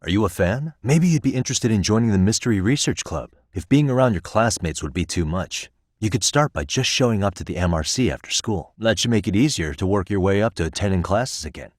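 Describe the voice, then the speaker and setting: A man's voice: fairly low pitch, expressive, a little fast, hardly any pauses, no echo, studio quality. An actor playing a casual, wry and encouraging male detective who doubles as a school counselor, in an English video game dub, with a conversational delivery. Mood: earnest, gentle.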